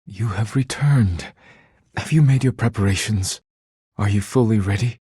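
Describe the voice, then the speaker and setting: An actor playing a proud, formal male artist in a video game, with a husky voice and deliberate, theatrical phrasing. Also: lowish voice, warm tone, no echo, clean studio sound. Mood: earnest, awed. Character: proud, formal, easily wounded male artist, devoted when befriended.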